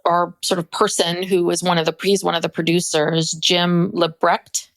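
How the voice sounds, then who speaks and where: A woman's voice: fairly low pitch, flat delivery, moderate pace, no echo. A woman co-hosting a podcast, chatting casually and animatedly, laughing often and restarting her sentences. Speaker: podcast co-host.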